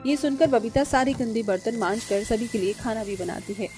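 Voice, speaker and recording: Female narrator for cartoon family tales, fairly high voice, scripted read, background music. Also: fairly quick, warm tone.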